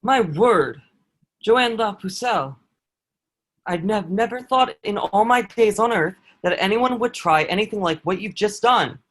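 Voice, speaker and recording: A man's voice: sits high, expressive, measured pace, fairly bright tone, heavily acted. A man playing a proud, dutiful enemy soldier who is secretly awed by his captive, in an earnest, formal table read of a historical drama. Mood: amazed. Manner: earnest, formal archaic phrasing.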